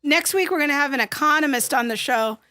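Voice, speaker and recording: A woman's voice: high voice, fast talker, sharp tone. A woman in her forties co-hosting a talk show from a small studio desk, chatty and thankful as she wraps up. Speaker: co-host. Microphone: desk microphone.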